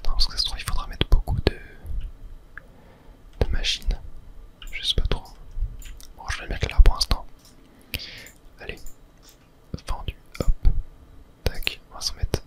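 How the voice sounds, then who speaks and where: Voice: unhurried, long pauses, a bit bright, rough recording. A video host gives ASMR gaming commentary entirely in a whisper. The delivery is casual and unplanned, murmuring each thought aloud and dotting it with tiny filler words.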